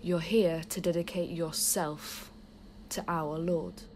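A woman's voice: lowish voice, steady tone, slow pace, darkish tone, a little hiss or hum. A woman playing a stern, menacing nun in an audio drama, speaking formally and with command. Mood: cold, threatening. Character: stern, menacing nun of a death-worshipping sisterhood.

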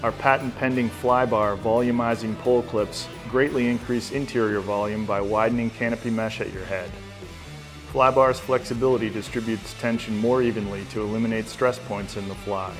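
A man reading a scripted promotional voice-over in a smooth, even, salesy manner. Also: on the low side, moderate pace. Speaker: ad narrator. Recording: voice-over over music.

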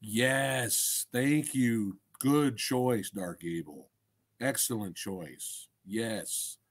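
A man's voice: lowish voice, extremely slow. A middle-aged man hosting a casual livestream, talking to viewers in an enthusiastic, slangy way with some swearing. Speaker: livestream host and film reviewer. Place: small indoor room crammed with collectibles. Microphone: desk microphone close in front.

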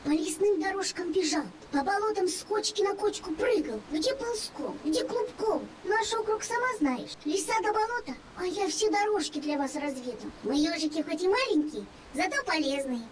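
A woman's voice: very high voice, lively intonation, normal speed, few pauses, distant mic, noisy background. A woman voicing a perky, boastful little male hedgehog for a children's TV cartoon, in a chirpy, playful manner. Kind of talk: children's TV cartoon character acting.

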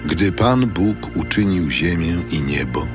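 Extremely deep, slow male narrator. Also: animated. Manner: solemn, measured reading. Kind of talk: scripted audio Bible narration. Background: loud music.

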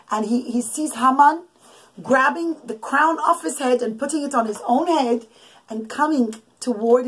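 Middle-aged mom, expressive storyteller, high voice, teaching children as she goes; noisy home recording. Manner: expressive, emphatic, didactic. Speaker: mother telling bedtime stories to her children. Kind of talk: animated storytelling with asides to children. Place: small children's bedroom, indoors. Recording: clicky home recording with background noise.

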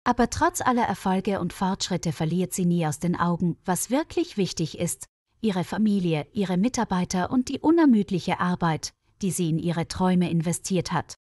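A woman reading a scripted story as a narrator for language learners, in a measured read-aloud manner. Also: fairly low pitch, lively intonation, darkish tone, no echo, clean studio sound.

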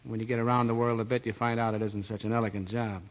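A man's voice: on the low side, little pitch movement, fast, natural voice. An actor playing a weary, plain-spoken American policeman, awkwardly smitten, in a 1940s radio drama. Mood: wistful, smitten. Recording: dull old 1940s radio recording.

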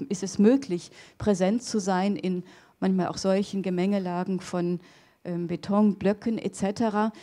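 A middle-aged woman giving an academic lecture in a hall on a handheld microphone, pausing often with fillers and restarts. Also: medium pitch, mellow. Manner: hesitant, many fillers and restarts. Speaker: theology lecturer.

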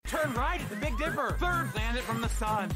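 A man's voice: very high-pitched, lively intonation, moderate pace. A small, friendly cartoon alien, male and naive. His voice swoops around eagerly, with stilted, formal phrasing and odd grammar. Light music sits behind the dialogue. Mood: excited, inviting.

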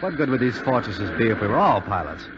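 An actor playing a steady, good-natured young American bomber pilot in a 1940s radio drama. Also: male voice, medium pitch, quick pace, lightly acted. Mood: teasing. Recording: muffled, hissy 1940s radio recording, hall reverb.

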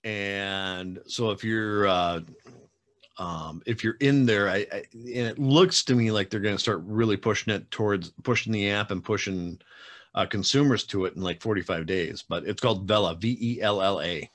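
A man in his forties with a lowish voice hosts a relaxed interview over a webcam call from his home room. He talks in a chatty, rambling way, full of fillers and light teasing. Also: moderate pace. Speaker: podcast host. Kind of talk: casual video-call interview. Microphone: webcam video call.

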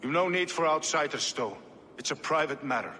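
An actor playing a gruff, suspicious medieval reeve in a video game, curt and sardonic. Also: male voice, a little high, animated, brisk, pushed and bright. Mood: curt, wary. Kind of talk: dramatic video game cutscene acting. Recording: reverberant stone hall, faint noise.

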